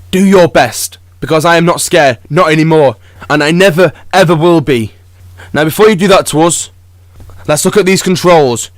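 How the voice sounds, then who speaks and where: A man's voice: fairly high pitch, dry recording, studio quality, natural voice. A man acting as a brave, earnest young human companion in a fan audio drama.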